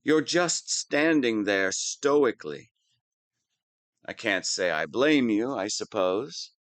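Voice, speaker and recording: A man's voice: lowish voice, sing-song, slow pace, fairly bright tone, no echo, full character voice. A man playing a theatrical wannabe supervillain in an audio roleplay, pompous and smug with a petulant, whiny streak. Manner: pompous monologuing that slips into whining. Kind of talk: scripted audio roleplay monologue.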